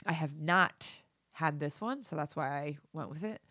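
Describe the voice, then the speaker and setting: A woman's voice: low-pitched, moderate pace, sharp tone, no room sound, studio quality. A woman with a stuffy nose from a cold, chatting casually as a co-host with a hesitant, filler-heavy way of talking. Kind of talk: casual chat.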